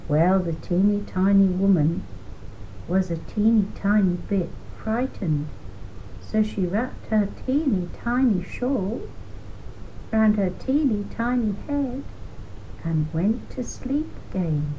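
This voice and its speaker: An older woman reading a children's storybook aloud in a deliberate, gently emphatic way. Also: mid-range voice, expressive, muffled recording, a little hiss or hum.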